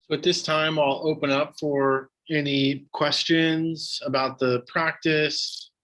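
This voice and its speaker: A man's voice: fairly high pitch, slow, low-quality recording. A man giving a casual dharma talk and answering questions from a live audience as a meditation teacher. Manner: relaxed, casual, conversational, many fillers.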